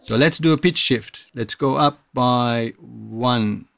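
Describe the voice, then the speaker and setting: A man's voice: mid-range voice, slow pace, vocal fry, fairly bright tone, dry recording, clean studio sound. A man presenting a music production tutorial in a casual, explanatory, informal way. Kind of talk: casual software tutorial narration.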